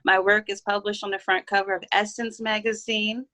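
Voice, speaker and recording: A woman from Baltimore, Maryland, talking as an interview guest in a steady, self-assured way. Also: mid-range voice, normal speed. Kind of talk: casual interview talk.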